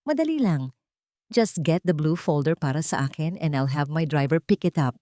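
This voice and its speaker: Casting: female narrator as honey-sweet romance villainess, condescending, code-switching English and Tagalog, low-pitched, dry studio sound. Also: lively intonation, moderate pace, dark tone, dry recording. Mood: coaxing, sly. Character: scheming, syrupy-sweet young rival woman, venomous underneath.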